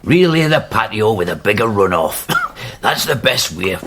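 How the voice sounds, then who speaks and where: A man's voice: lowish voice, very animated, moderate pace, background noise. An actor playing a frail, confused old man who rambles and repeats himself. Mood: gruff. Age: older. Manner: wandering, repetitive "aye". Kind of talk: radio drama acting.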